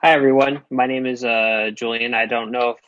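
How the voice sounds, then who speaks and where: A man's voice: medium pitch, level tone, a little fast, fairly bright tone, dull audio, slight background noise. A man on a video call, introducing himself on a panel, hesitant with many fillers. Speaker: panelist, lab assistant. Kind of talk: casual panel talk.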